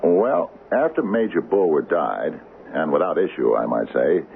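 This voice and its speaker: Folksy small-town mayor, very low, gravelly voice, rambling and self-correcting, old radio drama. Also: male voice, sing-song, measured pace, full character voice. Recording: muffled, band-limited radio broadcast, faint noise.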